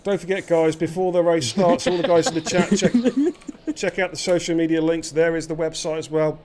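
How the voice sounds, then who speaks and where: A man with a slightly croaky voice commentating a live sim race, chatty and given to repeating himself. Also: fairly high pitch, normal speed, darkish tone. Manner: chatty, laughs easily, stumbles and repeats words. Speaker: lead sim racing commentator.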